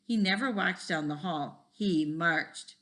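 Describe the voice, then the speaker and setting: A woman's voice: lowish voice, slow pace, fairly bright tone. A woman voicing a friendly, easygoing male computer teacher in a storybook, with a folksy, explanatory way of talking. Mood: reminiscing.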